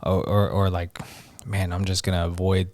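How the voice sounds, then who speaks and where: A man in his thirties co-hosting a casual chat podcast, easygoing and quick to laugh. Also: low voice, flat delivery, darkish tone, no room sound, clean studio sound. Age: 30s. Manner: laughs often, easygoing, fillers like "man" and "bro". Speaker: podcast co-host.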